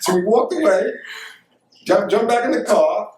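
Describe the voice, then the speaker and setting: A man's voice: high voice, lively intonation, normal speed, a little mellow. A middle-aged American man telling a casual first-person anecdote, often repeating words and phrases as he goes. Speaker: storyteller. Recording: echoey room, distant microphone.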